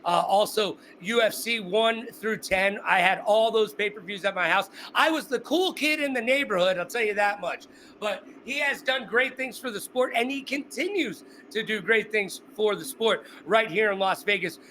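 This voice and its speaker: High, bright-voiced upbeat male interviewer. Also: moderate pace, slight background noise, low-quality recording. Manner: upbeat, joking, chatty. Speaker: interview host. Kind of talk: casual interview. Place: Las Vegas.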